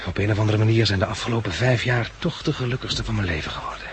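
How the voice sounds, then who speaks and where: A man's voice: lowish voice, quick pace, natural voice. An actor playing a reflective, world-weary male catastrophe survivor in a 1960s Dutch radio drama, articulate and wry, with a Netherlandic accent. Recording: noisy old 1960s radio recording.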